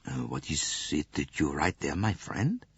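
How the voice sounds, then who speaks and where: A man voicing a hearty, eager older male railway director who talks in a quick, self-assured way. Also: rumbling low, animated, breathy edge, dry recording, pitched below the performer's own voice, exaggerated voice. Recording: dull dry studio recording.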